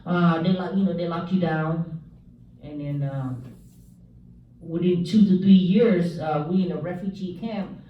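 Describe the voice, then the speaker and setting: A man's voice: a little high, highs cut off, slight background noise. Man, church testimony, echoey hall, distant mic. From South Vietnam. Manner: halting, many fillers, joking asides. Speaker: speaker giving a testimony.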